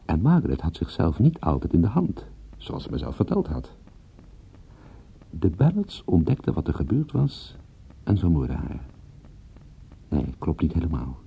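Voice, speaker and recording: Private detective for radio play: brooding and wry, lowish gravelly voice, musing to himself, lively pitch. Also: male voice, heavily acted. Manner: musing aloud, self-questioning. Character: male private detective narrating a murder case, brooding, wry. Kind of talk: radio drama first-person narration. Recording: muffled lo-fi old radio recording.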